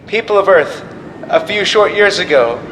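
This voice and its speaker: An actor playing a solemn, grandiose president, making a formal address in an audio drama. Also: male voice, high-pitched, lively intonation, normal speed, fairly bright tone. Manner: declamatory, formal. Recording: echoing, noisy outdoor launch pad address.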